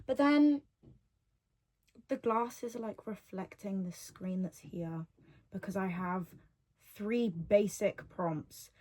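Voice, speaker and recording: A woman's voice: mid-range voice, slow pace, pauses often, a little mellow. An eighteen-year-old woman hosting her own solo podcast from home, chatting and rambling freely, often repeating her own phrases, recorded on a device's built-in mic with no podcast microphone. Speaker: podcast host.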